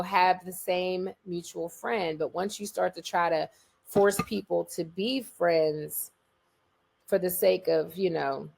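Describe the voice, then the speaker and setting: A woman's voice: on the low side, gravelly. A woman co-hosting a podcast in casual chat, talking emphatically and repeating phrases to drive a point home. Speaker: podcast co-host.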